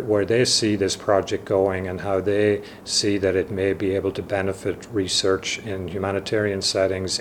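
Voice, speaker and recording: Low-voiced man presenting, halting delivery. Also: slight background noise. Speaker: research project lead and presenter. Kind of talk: informational project presentation.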